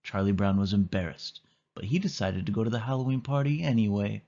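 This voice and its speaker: A man's voice: lowish voice, fairly quick, dark tone, lo-fi sound. A man narrating a children's storybook as a scripted read-aloud.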